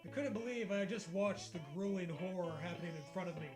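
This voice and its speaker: High pitched guy reading a story out loud, swears and mocks it, music in the back. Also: fast talker. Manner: sweary, mocking. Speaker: co-host reading a story aloud. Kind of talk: casual comedic read-along with commentary. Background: background music.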